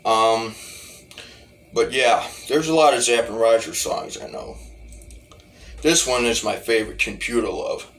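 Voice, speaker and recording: A man's voice: medium pitch, a little slow, long pauses, fairly bright tone, a little hiss or hum. A man giving a casual, rambling demo as a hobby musician, often restarting his phrases. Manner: rambling, repeats starts of phrases. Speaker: hobbyist talk box musician.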